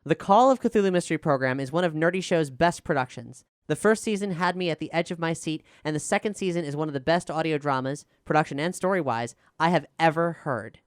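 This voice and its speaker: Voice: mid-range voice, fairly quick, hardly any pauses, clear, resonant tone, no room sound, clean studio sound. A podcast host talking earnestly and articulately in a question-and-answer discussion.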